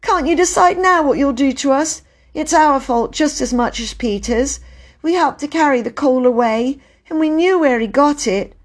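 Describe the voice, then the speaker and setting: A woman voicing an earnest young girl in a storybook, a gentle, loyal sister who pleads and insists. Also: sits high, normal speed, voice pushed up, natural voice. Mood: pleading. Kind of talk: storybook character voice.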